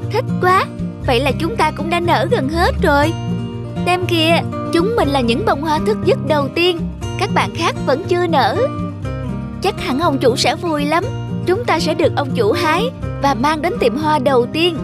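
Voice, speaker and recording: A vain young cartoon sunflower with a high, girlish pitch. The delivery is expressive and full of exclamation, made for children's animation. Music plays behind the voice. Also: normal speed. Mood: excited. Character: excited, vain young female sunflower, later despairing.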